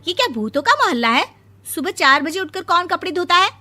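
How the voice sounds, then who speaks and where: A woman voicing a young cartoon bride who is obsessed with washing clothes, talking in a shrill, dramatic, boastful way. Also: sing-song, a little fast, sharp tone, faint music behind, exaggerated voice. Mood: shrill, indignant.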